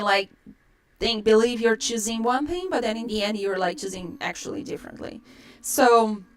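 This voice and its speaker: A woman's voice: mid-range voice, a bit bright. A woman with a Brazilian accent, a native Portuguese speaker, chatting casually and in a rambling way to livestream viewers as a storyteller host. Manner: rambling, peppered with "like".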